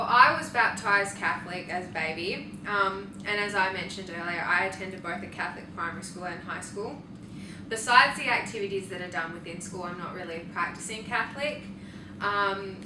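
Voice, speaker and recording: A young woman in her late teens with an Australian accent, giving prepared, somewhat formal answers as a student panellist. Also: on the low side. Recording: echoey room, distant mic, clear noise.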